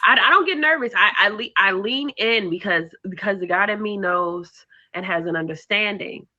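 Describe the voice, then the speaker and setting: A woman hosting a podcast interview in casual chat, affirming her guest often and repeating phrases warmly. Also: medium pitch, moderate pace, dull audio. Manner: affirming, frequent "mm-hmm" and "I love that", repeats phrases. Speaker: podcast host.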